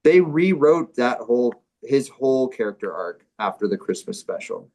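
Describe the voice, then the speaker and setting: Deadpan man bantering casually. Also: medium pitch, normal speed. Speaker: comedy show performer. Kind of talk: casual banter and script read-through.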